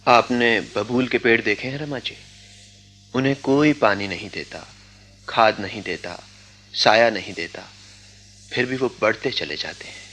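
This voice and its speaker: A man acting a stoic, earnest young man in a radio drama, speaking in a measured, philosophical way. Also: fairly low pitch, fairly bright tone, faint music behind. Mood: resigned, philosophical.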